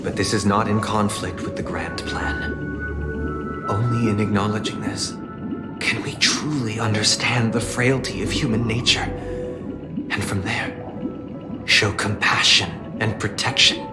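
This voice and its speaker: A man acting a calm, solemn leader in a video game, speaking in measured, grandiloquent rhetoric. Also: lowish voice, close to an everyday voice. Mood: solemn, persuasive. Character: calm, solemn, philosophical leader preaching compassion and pessimism. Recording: loud music behind the voice.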